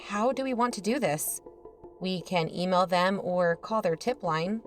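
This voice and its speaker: An actress playing a cautious, level-headed podcast investigator in an audio drama. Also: female voice, lowish voice, unhurried, faint music behind, natural voice.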